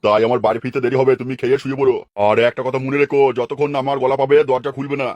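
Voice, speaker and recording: A man's voice: mid-range voice, level tone, rapid-fire, darkish tone, no room sound, dull audio, natural voice. A man playing a jealous, fretful mason husband, bossy and fussy, in a comic cartoon dub. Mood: bossy, worried.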